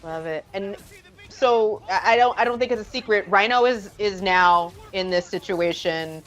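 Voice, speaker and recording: A woman hosts a live interview, asking eager questions that wander and restart. Her pitch sits in a middle range and her talk is casual. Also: normal speed. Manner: enthusiastic, rambling questions. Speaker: host.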